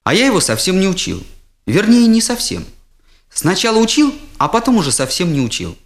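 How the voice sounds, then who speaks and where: A man performing a humorous first-person story as its storyteller, with expressive comic timing. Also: high voice, a little fast.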